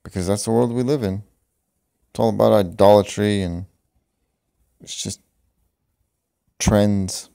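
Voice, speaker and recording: A man's voice: on the low side, fairly even intonation, measured pace, vocal fry, long pauses. A man talking off the cuff, rambling and swearing, with a voice-over over music. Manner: profane, rambling, laughs at own jokes. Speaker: vlogger. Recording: voice over loud background music.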